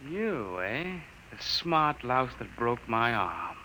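A man's voice: mid-range voice, sing-song, slow, pushed and bright, slight background noise, heavily acted, rough recording. An actor playing a sneering, vengeful army lieutenant in a 1950s radio drama, mocking his prisoner.

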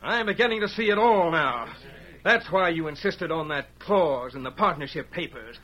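A duped, hesitant city partner in a vintage radio western. The American voice is fairly high and sharp. The recording is muffled. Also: male voice, animated, moderate pace. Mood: dismayed. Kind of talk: 1940s radio western acting.

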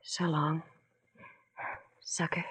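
A woman's voice: deep voice, flat delivery, very slow, a bit bright, slightly rough, full character voice. An actress playing a bitter, vulnerable young woman, hesitant and dramatic in 1940s radio style. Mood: shaken, wistful.

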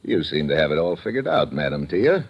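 A man's voice: extremely low pitch, sing-song, brisk, husky, full character voice. An actor playing a wisecracking, sardonic American adventurer who narrates his own story in a mid-century radio drama. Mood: dry, guarded. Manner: sardonic, slangy. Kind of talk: 1940s-50s radio adventure acting, first-person narration. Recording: dull old radio recording with loud music.

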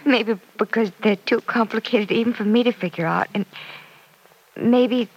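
The young American heroine of an afternoon radio serial, confiding haltingly and earnestly at the kitchen table about love and her own doubts. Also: fairly high pitch, breathy edge, muffled recording. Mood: troubled, earnest. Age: young adult. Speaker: radio drama actress. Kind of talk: old-time radio soap opera acting. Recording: vintage radio transcription.

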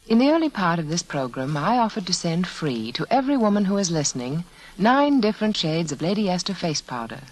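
Expressive 1940s radio beauty spokeswoman. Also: on the low side, poor audio. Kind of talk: 1940s scripted radio advertisement.

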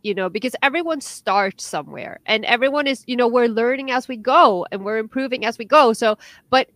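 A woman's voice: a little high, a little fast, a bit bright, no room sound. A young woman from Norway, a podcast co-host chatting casually and animatedly, with many restarts and fillers. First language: Norwegian. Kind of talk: casual chat.

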